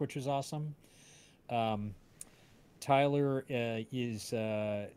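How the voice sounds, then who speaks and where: A man's voice: medium pitch, a little mellow, slight background noise. Guy hosting a stream, rambles along, hesitant, midrange voice. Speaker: audio drama creator hosting livestream. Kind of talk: casual livestream chat.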